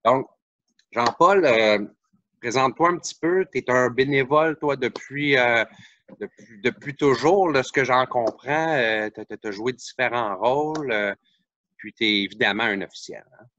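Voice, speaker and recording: A man's voice: mid-range voice, normal speed, fairly bright tone, no room sound, lo-fi sound. A man with a Québécois accent hosting a podcast, chatting casually and searching for words with frequent restarts. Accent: Québécois French. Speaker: podcast host. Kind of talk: casual interview chat.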